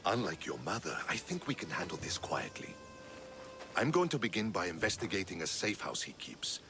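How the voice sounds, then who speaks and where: An actor playing a measured, principled male Spartan commander in a game cutscene who argues calmly and persuasively. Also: low voice, noisy background. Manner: calm, persuasive. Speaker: Spartan general.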